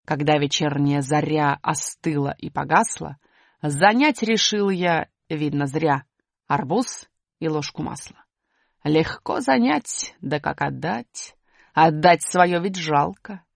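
A woman voicing a mocking, mustached male trickster who gloats and jeers, in a low gravelly put-on voice. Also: takes it slowly, no echo, clean studio sound, exaggerated voice. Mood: declaiming. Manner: jeering, sly, crowing.

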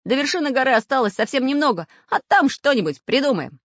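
A spirited little male robot from a storybook acted by a woman, raised high above normal talk. He sounds brisk and take-charge, with lively swings of pitch. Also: no echo, muffled recording. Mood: decisive. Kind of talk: children's storybook character voice.

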